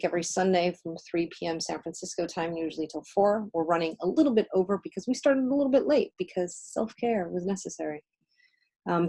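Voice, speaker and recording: A woman with a slightly low voice, a native Spanish speaker. She co-hosts a casual spiritual healing livestream, giving readings conversationally, with emphatic phrasing and pauses for effect.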